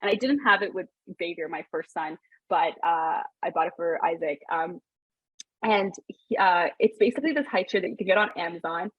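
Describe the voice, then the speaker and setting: A woman's voice: on the low side, moderate pace, breathy edge, no room sound, narrow, tinny audio. A woman in her thirties, born in Sri Lanka and raised in Canada, chatting casually as a podcast guest, with lots of fillers and restarts. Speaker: podcast guest, business founder. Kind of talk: casual interview chat.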